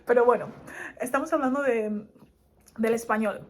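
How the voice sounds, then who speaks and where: A young woman from Spain with a Madrid accent, talking casually to camera as a vlogger, animated and quick to laugh. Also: on the high side. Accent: Madrid Spanish. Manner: animated and laughing, lively asides.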